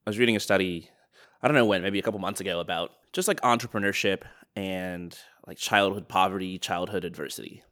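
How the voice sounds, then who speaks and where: A man's voice: low voice, brisk, a bit bright. A man hosting an interview, asking curious questions in a chatty way. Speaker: host. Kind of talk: casual interview talk.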